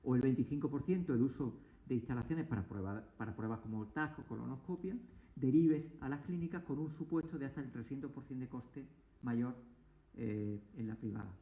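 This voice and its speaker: A man's voice: medium pitch, brisk. A man speaking as a political guest, pressing point after point with emphasis and frequent restarts. He sits at a table mic in an echoey room, and the sound is slightly dull. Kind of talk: argumentative political talk. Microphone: table mic, asked to move it closer.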